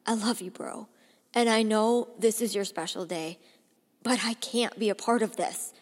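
A woman's voice: medium pitch, measured pace, natural voice. Woman voicing a bitter, sarcastic young man. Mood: anguished. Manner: sarcastic, loud and mocking. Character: bitter defiant young man, 28, feigning drunk. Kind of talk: audiobook character voice. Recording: long hall reverb.

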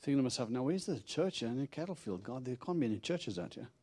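Middle-aged South African-born preacher, Texan accent, humorous storytelling sermon, dry close sound. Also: male voice, medium pitch, expressive, quick pace, mellow, husky, no echo. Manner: chatty and humorous, acting out dialogue with God. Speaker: visiting preacher. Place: church.

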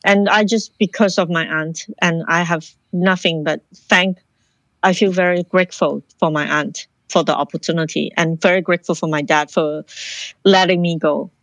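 A woman's voice: lowish voice, fairly even intonation, moderate pace, fairly bright tone, no echo. A woman from Hong Kong, a guest in an interview who tells her life story in an earnest, reflective way and often restarts her sentences. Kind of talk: personal life story in conversation.

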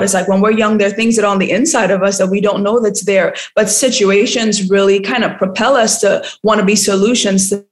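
Earnest motivational woman from Trinidad. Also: medium pitch. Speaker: guest life coach. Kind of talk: podcast interview.

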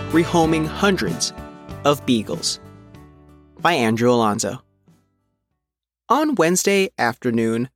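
A man reading a news article as its reporter, in measured, phrase-by-phrase scripted narration. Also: fairly high pitch, dry recording. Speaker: reporter reading their own written article.

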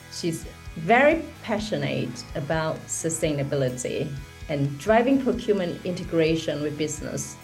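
A middle-aged woman hosting a podcast interview, admiring and quick to laugh. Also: low-pitched, darkish tone. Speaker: podcast host.

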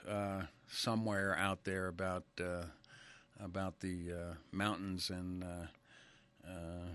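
A man in his sixties from Connecticut hosting a radio interview, chatty, laughing often and filling pauses with ums. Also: deep voice, little pitch movement, fairly bright tone, clean tone. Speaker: radio show host. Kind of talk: casual interview chat.

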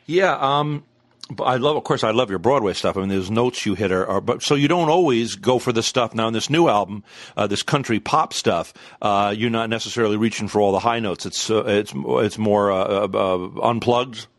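A man's voice: on the low side, normal speed, no room sound. A man hosting a conversational celebrity interview in a gushing, effusive way. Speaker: interview host.

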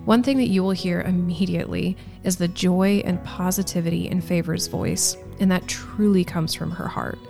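Woman podcast host, kinda low voice w some fry, music under it. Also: steady tone, moderate pace, few pauses, dark tone. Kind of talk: scripted podcast introduction and interview questions.

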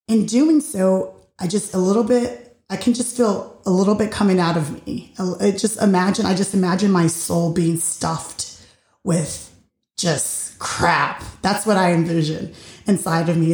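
A woman hosting her own show, talking slowly and earnestly about personal growth, her deep voice a little raspy after losing it recently. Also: dark tone. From Puerto Rican and Dominican heritage. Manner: slow, heartfelt, searching for words. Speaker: podcast host. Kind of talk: reflective personal monologue. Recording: roomy echo.

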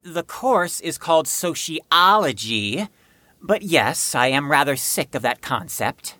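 Pedantic, formal woman actress's role for audio drama. Lower register, lively intonation, dry close recording, aloof. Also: slow pace, dry recording, full character voice. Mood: aloof, correcting. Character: pedantic, bookish, aloof female patient.

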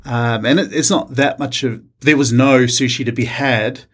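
Kiwi chef guy in his 40s, relaxed chat, lots of uh and restarts, middle pitch. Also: normal speed, slightly rough. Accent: New Zealand. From New Zealand. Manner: hesitant, many uh fillers and restarts. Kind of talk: casual interview conversation.

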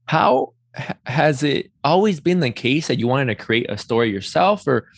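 A man's voice: medium pitch, sing-song, normal speed, creaky, breathy edge, no echo, clean studio sound. A man hosting a casual interview, reacting with effusive enthusiasm. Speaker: podcast host.

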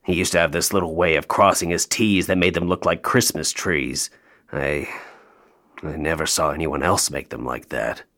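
An actor playing a bitter, grieving North Pole elf in an audio play, sarcastic and emotionally open. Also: male voice, a bass voice, steady tone, normal speed, fairly bright tone. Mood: stunned, quiet.

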